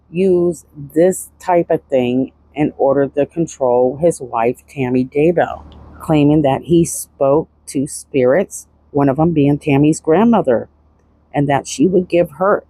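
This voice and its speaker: Low-key woman with a soft, mellow tone. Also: breathy edge, no room sound, rough recording. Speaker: true-crime commentator and host. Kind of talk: casual analytical commentary.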